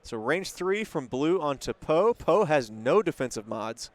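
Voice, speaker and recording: A man with a loud voice giving live play-by-play commentary on a tabletop miniatures game at a convention, briskly calling out dice results. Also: on the high side, very animated. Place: convention center hall.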